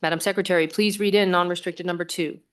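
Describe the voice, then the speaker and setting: A woman's voice: fairly low pitch, fairly even intonation, fast. A woman chairing a formal public meeting in a procedural, courteous manner. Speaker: commission chair.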